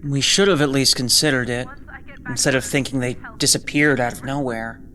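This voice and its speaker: A man's voice: mid-range voice, steady tone, normal speed, bright, forceful tone, natural voice. An actor playing an earnest, remorseful male crewman in a sci-fi horror audio drama. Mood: regretful.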